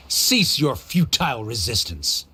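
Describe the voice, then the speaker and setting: An actor voicing a stern, condescending academy official in a video game, with a cold, pompous, formal manner. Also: male voice, medium pitch, big pitch swings, slow, pushed and bright, a little hiss or hum, heavily acted.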